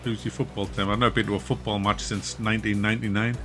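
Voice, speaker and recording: A man's voice: fairly low pitch, fast, over music. Laid-back Mackem man chatting on stream. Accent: Wearside (Mackem) North East English. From North East England, near Durham. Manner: relaxed, chatty, laughs often, trails off. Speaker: game streamer. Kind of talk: casual live-stream chat reading viewer comments.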